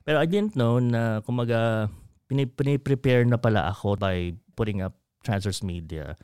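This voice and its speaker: A man's voice: medium pitch, moderate pace, a little mellow, smooth, no echo, clean studio sound. A man from the Philippines with a Filipino accent, guest in a casual interview, telling a story in Tagalog mixed with English and acting out quoted voices. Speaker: guest, media company founder.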